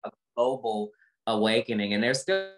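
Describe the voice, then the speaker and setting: A woman with a low voice talks reflectively and emphatically as an interview guest on a video call, repeating phrases for effect. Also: expressive, moderate pace. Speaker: interview guest, motivational speaker. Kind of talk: reflective personal talk in an interview.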